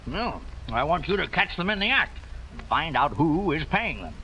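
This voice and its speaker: Pompous blustering tycoon, high, gravelly, lively delivery, old radio play, dull and hissy. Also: male voice, normal speed, exaggerated voice. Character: pompous bombastic male gumball tycoon, middle-aged. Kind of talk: 1940s radio acting. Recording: dull old radio broadcast with faint hiss.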